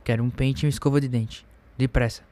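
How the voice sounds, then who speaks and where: A man's voice: medium pitch, steady tone, fast talker, dark tone, close to an everyday voice. A man playing a proud, resentful newlywed husband in a radio drama, formal, curt and ironically polite. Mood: bitter. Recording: music bed behind the voice.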